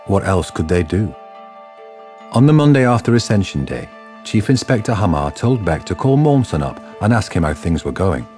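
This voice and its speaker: A man's voice: low-pitched, very animated, moderate pace, a little mellow, faint music behind. A man narrating a radio drama from a script.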